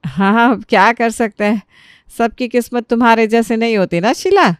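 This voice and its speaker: Casting: female narrator as plain-spoken cartoon mother-in-law, wry and obliging, highish, dry sound. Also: expressive, normal speed, clear, resonant tone, no room sound, lightly acted. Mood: sarcastic. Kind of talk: Hindi cartoon story character voice.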